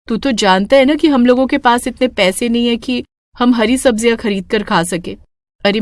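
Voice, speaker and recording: A woman voicing a poor, hot-tempered but loving mother in a cartoon moral story, speaking in an expressive, exclaiming way. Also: a little high, no room sound, studio quality, natural voice. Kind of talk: animated moral-story dialogue.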